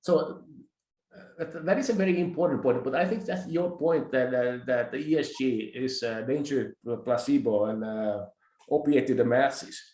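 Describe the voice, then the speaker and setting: A man's voice: mid-range voice, mellow. Finnish-born man in an echoey room. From Finland. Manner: deliberate, many "uh" hesitations and repeated words. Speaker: interviewer. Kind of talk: measured discussion.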